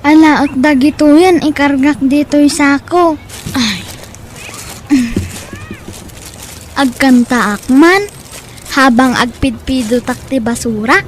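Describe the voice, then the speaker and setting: A woman with an Ilocano accent playing a poor, dutiful young boy in an audio drama, speaking earnestly in a drawn-out way. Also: high voice, a little hiss or hum. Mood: tender, earnest.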